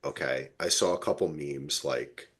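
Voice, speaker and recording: A man's voice: rumbling low, measured pace, a bit bright. A man co-hosting a podcast, chatting casually and emphatically as an enthusiastic fan, with plenty of swearing. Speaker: podcast co-host. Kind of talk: casual fan chat about TV.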